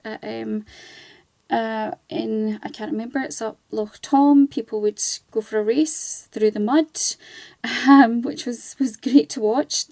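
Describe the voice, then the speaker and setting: A woman's voice: fairly high pitch, mellow, no echo. A woman speaking as a charity ambassador and guest in a conversational radio interview, chatty and explanatory with wandering asides.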